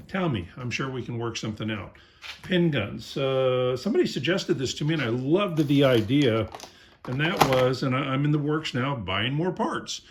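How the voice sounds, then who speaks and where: Middle-aged man, low voice, tired, rambling small-business sales talk. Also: slight background noise. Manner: plain-spoken, rambling, many ums and uhs. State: tired after a long day. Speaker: small airgun parts maker. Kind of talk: casual sales talk to camera.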